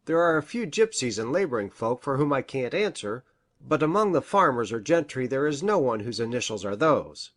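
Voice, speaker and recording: Audiobook role: woman playing male country doctor, very low register, animated contours, measured and deliberating. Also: heavily acted. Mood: pondering. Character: thoughtful country doctor, a gentleman of the moor. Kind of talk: audiobook character dialogue.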